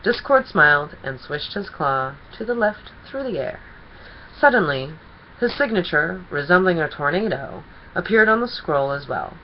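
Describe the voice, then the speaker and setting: A woman reading a children's story aloud as an expressive storyteller. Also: lowish voice, normal speed, lo-fi sound, a little hiss or hum.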